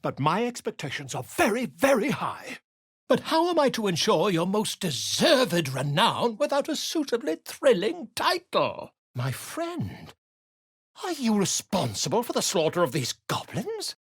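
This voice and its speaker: A pompous theatrical bard. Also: male voice, high voice, wildly swooping pitch, unhurried, husky, no room sound, studio quality, heavily acted. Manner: flowery, pompous, declamatory. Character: eccentric male bard-scholar, pompous and theatrical. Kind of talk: video game voice acting.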